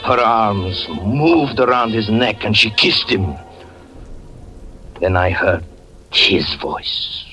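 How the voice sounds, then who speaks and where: A man's voice: on the low side, expressive, slow, long pauses, bright, forceful tone, faint music behind, full character voice, low-quality recording. An actor in 1940s radio acting style, playing a haunted man who breathlessly recounts his own murder. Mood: dread. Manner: intense, breathless dramatic narration.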